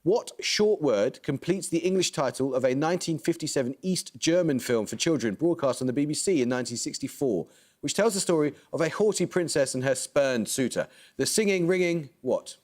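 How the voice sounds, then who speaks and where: A man hosting a television quiz, reading out questions and rulings in a brisk, formal, precise manner. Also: on the high side, animated, a little mellow. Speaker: quizmaster.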